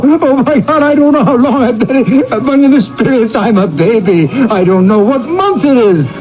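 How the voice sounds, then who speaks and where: An actor playing a reformed old miser in a theatrical radio drama, with an emotional, exclamatory delivery. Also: male voice, high-pitched. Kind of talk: theatrical radio drama acting with first-person narration. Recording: muffled old radio broadcast with music. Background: music.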